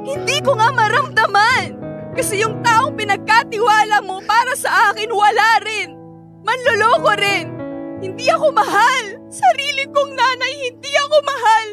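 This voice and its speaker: An actress voicing a neglected young daughter who feels unloved by both parents. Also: female voice, extremely high pitch, normal speed, music in the background. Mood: heartbroken. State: crying. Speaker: Yanyan, the child.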